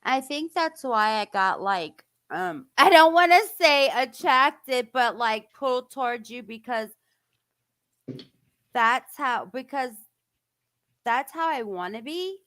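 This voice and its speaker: A woman's voice: high-pitched, animated, takes it slowly, bright, forceful tone, no room sound. Casual woman host, dry close sound. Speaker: host of a true-crime stream. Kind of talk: casual chat.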